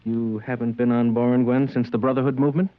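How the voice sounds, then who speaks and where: A man's voice: mid-range voice, fast, dry recording, close to an everyday voice. Man doing curt customs official, preachy party-line type, deadly serious, old radio play, dull muffled sound. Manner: flat, dogmatic, deadly serious. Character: stern, doctrinaire male customs agent of a collectivist regime. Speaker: radio drama actor. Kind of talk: old-time radio drama acting.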